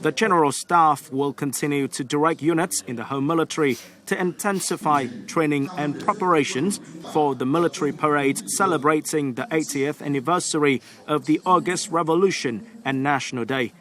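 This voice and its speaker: Male news presenter, slightly high pitch, formal scripted read, measured phrasing. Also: fairly bright tone.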